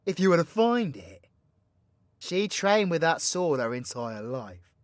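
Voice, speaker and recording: A man's voice: on the high side, very animated, unhurried, no echo. A man playing a cocky, foul-mouthed, playfully teasing supervillain mentor in an audio roleplay, with a British accent and a slangy, swaggering, theatrical delivery. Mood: teasing, secretive. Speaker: voice actor. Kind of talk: villain ASMR audio roleplay, addressing the listener.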